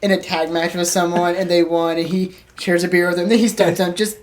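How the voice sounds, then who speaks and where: Low-pitched woman chatting casually on a podcast. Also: level tone, brisk. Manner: informal, frequent "like". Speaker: podcast co-host. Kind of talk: casual chat.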